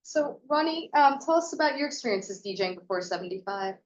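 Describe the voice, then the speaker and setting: Female moderator, lively pitch, dull off-mic sound. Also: fast. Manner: inviting, prompting questions. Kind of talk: moderating a roundtable.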